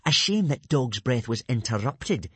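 A man's voice: medium pitch, sing-song, measured pace, breathy edge, no echo, voice pushed up. A man voicing a boastful, sneering teenage Viking bully in a children's audiobook, drawling and self-satisfied. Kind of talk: children's audiobook character acting.

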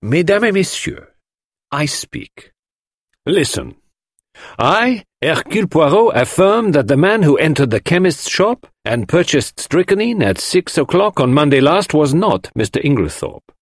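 A man voicing a theatrical, commanding detective, formal and deliberate, in an audiobook. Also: fairly high pitch, big pitch swings, dry recording, studio quality, pitched above the performer's own voice, exaggerated voice. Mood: declaratory. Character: theatrical Belgian male detective, precise, commanding, dramatic. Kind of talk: audiobook character voice.

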